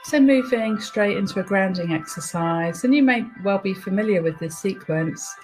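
A woman's voice: mid-range voice, expressive, darkish tone, rough recording. Soothing woman meditation guide over music. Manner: soothing, unhurried instruction with pauses. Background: music behind the voice.

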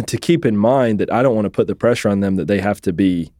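A British man in his thirties, raised in the UK, telling his life story as an interview guest in a relaxed, reflective way. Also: on the low side, a little fast, no echo, studio quality. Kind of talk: conversational interview.

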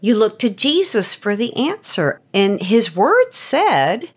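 A woman's voice: mid-range voice, sing-song, takes it slowly, husky. A woman host teaching in an earnest, conversational devotional style, often posing rhetorical questions as she explains. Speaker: host and storyteller.

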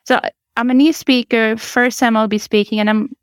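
Young woman guest, Greek and Italian native speaker, smooth clear voice, dry sound, enthusiastic. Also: on the high side, normal speed, dry recording. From Greece and Italy. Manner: enthusiastic, animated, occasional laughs and restarts. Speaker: guest, change consultant. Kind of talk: podcast interview.

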